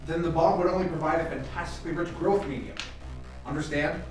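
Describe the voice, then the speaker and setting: A man's voice: fairly high pitch, steady tone, fast talker, natural voice. Distant and swimming in echo, with music underneath, an actor plays a cooperative male official. He relays orders at a secret base in a radio play. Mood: businesslike. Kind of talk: radio drama acting.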